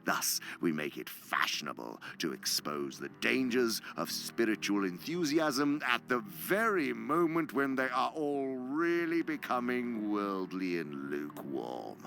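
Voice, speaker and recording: An actor playing a cunning, pompous senior demon in an audio drama, lecturing eloquently and with disdain. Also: male voice, mid-range voice, big pitch swings, measured pace, vocal fry, hardly any pauses, fairly bright tone, full character voice. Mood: gloating lecture. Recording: music underneath.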